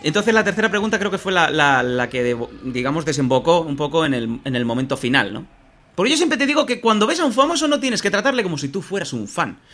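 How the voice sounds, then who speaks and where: A man from Barcelona with a Spanish accent, co-hosting a podcast and telling an anecdote in animated, casual comedic chat. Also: on the high side. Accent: Spanish (Spain). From Barcelona, Spain. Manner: animated, rapid anecdotal storytelling. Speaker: podcast co-host.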